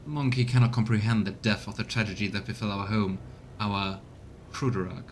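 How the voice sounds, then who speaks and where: A man's voice: on the low side, fairly even intonation, fairly quick, slight background noise, close to an everyday voice. A man voicing a scornful, grieving alien elf farseer from a game, solemn, haughty and archaic in speech. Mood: contemptuous. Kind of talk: voiced reading of game dialogue. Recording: music behind the voice.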